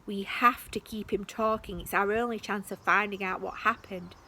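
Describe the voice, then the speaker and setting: A woman's voice: medium pitch, steady tone, moderate pace, pushed and bright. A woman voicing Max, a cautious and thoughtful young boy in a storybook reading. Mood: worried.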